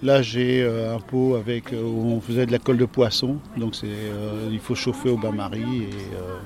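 French man, middle pitch, arrow maker being interviewed, halting and casual. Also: level tone, music in the background. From France. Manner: hesitant, many fillers, plain-spoken. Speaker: archery club captain and arrow maker.

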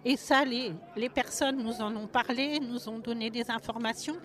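A woman's voice: on the high side, steady tone, moderate pace, fairly bright tone. A woman explaining a community project in an interview. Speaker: exhibition project organizer interviewee.

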